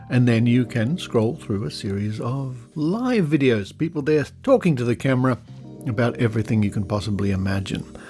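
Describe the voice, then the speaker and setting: A man's voice: medium pitch, a little mellow. A man with an Australian accent hosts a podcast in an informal, conversational style. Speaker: podcast host.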